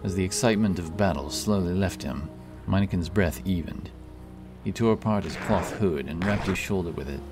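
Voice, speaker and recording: A man narrating a fantasy audiobook in a steady, dramatic storytelling manner. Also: low-pitched, moderate pace. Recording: with loud music behind.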